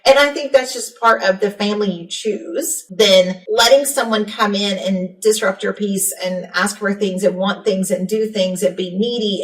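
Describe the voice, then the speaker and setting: Frank, candid woman in an echoey room. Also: mid-range voice. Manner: frank, candid, swears casually. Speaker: podcast host, therapist. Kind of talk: casual chat. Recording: roomy, distant from the mic.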